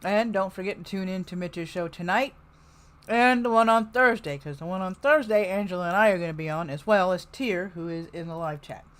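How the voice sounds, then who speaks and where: A woman's voice: fairly low pitch, a little fast. A woman hosting a livestream in casual chat, dropping informal, wry asides. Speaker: livestream host.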